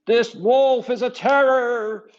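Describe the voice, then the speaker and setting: Man voicing an alarmed, high-pitched countess. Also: fairly even intonation, slow pace, highs cut off. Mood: alarmed, urgent. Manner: exclaiming, commanding. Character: alarmed, imperious Italian countess of medieval times.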